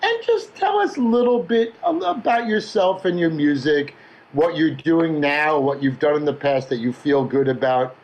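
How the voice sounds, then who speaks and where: A man moderating an online panel discussion, introducing the event and guests with measured, emphatic phrasing. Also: a little high, highs cut off. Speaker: discussion host.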